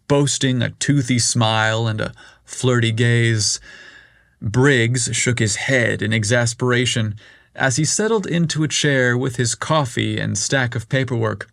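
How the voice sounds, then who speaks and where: Male narrator for an audio story, slow and steady delivery, close and dry. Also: medium pitch, gravelly, dry recording.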